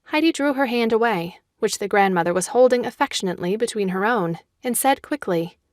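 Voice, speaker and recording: A woman's voice: fairly high pitch, expressive, no echo. Female storybook narrator, close and dry. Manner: measured storytelling. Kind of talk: scripted audiobook narration of a children's classic.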